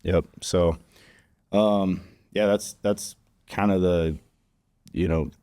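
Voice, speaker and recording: A man from Kansas talks easily as a podcast guest, circling his points with plenty of ums and you-knows. His voice sits very low and mellow. The sound is dry and close, with little room around it. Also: steady tone, slow pace, dry recording. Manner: easygoing, explains with many fillers. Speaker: guest, conservation group regional director. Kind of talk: casual podcast chat. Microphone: studio podcast mic.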